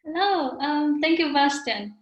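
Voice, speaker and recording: A woman's voice: high voice, expressive, unhurried, roomy sound, highs cut off. A woman speaking as an alumna on a webinar panel over a video call, friendly and loose with many fillers.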